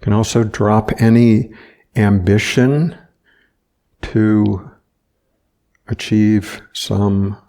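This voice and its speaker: A man guides a meditation in a very slow, even voice with a heavy creak in it. He speaks gently in short phrases and leaves long, unhurried pauses between them. The tone stays flat and soft-edged throughout. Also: fairly low pitch. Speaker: meditation teacher.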